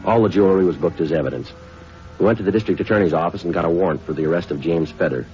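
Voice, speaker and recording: An actor playing a terse, patient, businesslike police detective, polite and deadpan, in a 1950s radio drama. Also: male voice, low-pitched, very fast, slight background noise, close to an everyday voice. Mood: matter-of-fact. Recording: dull vintage radio recording.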